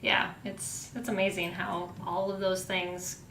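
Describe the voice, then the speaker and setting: A woman's voice: little pitch movement, a little slow, gravelly, pushed and bright. A woman hosting a podcast, chatting casually, laughing often and giving many small affirming replies. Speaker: podcast host. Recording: roomy echo with faint rumble.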